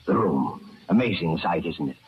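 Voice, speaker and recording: An actor playing a genteel, menacing killer who gloats with mock courtesy and rambles on, in 1940s radio horror style. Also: male voice, low voice, expressive, moderate pace. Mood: gloating menace. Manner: rambling, self-satisfied, chuckling, mock-courteous. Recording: dull, noisy old-time radio recording.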